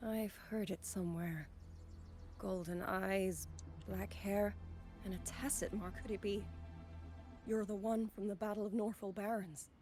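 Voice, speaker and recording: An actress playing an earnest young woman soldier in a video game, speaking gravely and formally. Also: medium pitch, fairly even intonation, a little mellow, close to an everyday voice. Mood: surprised, wary. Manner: formal, grave, measured delivery. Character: earnest young woman soldier, last survivor of her squad. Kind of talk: dramatic video game voice acting. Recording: game music behind the voice.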